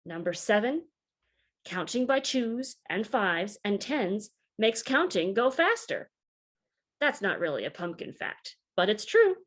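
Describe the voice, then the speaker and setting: A woman reading aloud a story for children in a deliberate, expressive teacherly way. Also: mid-range voice.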